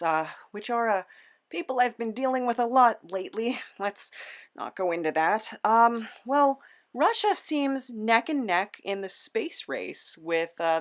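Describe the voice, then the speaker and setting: A woman hosting a casual comedic interview podcast, speaking in a hesitant, slightly awkward way. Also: a little high.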